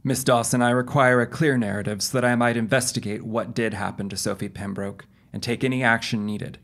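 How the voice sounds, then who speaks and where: A man plays a police detective in a radio drama. He is formal and measured, questioning patiently with a skeptical, official air. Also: medium pitch, steady tone, fairly bright tone, natural voice. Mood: businesslike. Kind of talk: audio drama acting.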